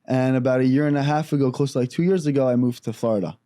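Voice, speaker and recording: Fast-talking young New man. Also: mid-range voice. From Israel, raised on Long Island. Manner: rapid, animated storytelling with many fillers. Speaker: guest comedian. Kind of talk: casual podcast chat.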